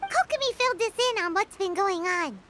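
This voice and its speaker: A tiny excitable fairy sidekick from a video game. The voice is extremely high, childlike and bright, bouncing around in pitch. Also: female voice, moderate pace, a little hiss or hum, full character voice. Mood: curious. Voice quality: squeaky, childlike. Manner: talks of self in third person, excitable. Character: small chirpy floating fairy companion girl.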